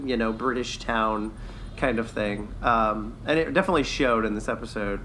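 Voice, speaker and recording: A man's voice: on the low side, normal speed, a bit bright, a little hiss or hum, poor audio. A man co-hosting a podcast in casual chat, upbeat and good-humoured. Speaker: podcast co-host.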